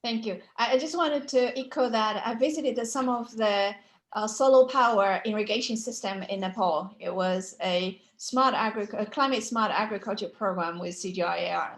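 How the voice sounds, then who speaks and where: A woman moderating an online conference session informally, inviting participants to speak. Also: medium pitch.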